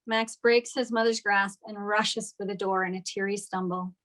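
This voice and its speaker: Female narrator for a script read, medium pitch, delivering scene directions. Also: steady tone, normal speed, a bit bright. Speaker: stage direction reader at a table read.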